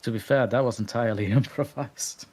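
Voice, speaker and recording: A man's voice: medium pitch, fairly quick, darkish tone. A man from Belgium, a guest story narrator, chatting modestly and laughing often in a live stream interview. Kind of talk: casual interview chat.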